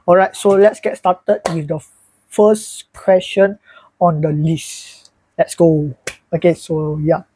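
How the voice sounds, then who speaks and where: A man's voice: fairly high pitch, flat delivery, slow, warm tone, slight background noise, rough recording. A young man of twenty-one, chatting loosely to camera in a small bedroom and often repeating "so yeah" and "alright, guys". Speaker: YouTuber. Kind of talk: casual vlog talk to camera. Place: small bedroom with a fan, indoors, seated.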